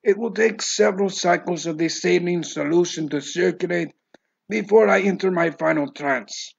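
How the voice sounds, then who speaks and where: Grim fatalist for an audiobook, male narrator playing him, commanding, gravelly, slightly dull recording. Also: sits high, moderate pace, full character voice. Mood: calm, instructing. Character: grim, resolute man instructing his own death ritual.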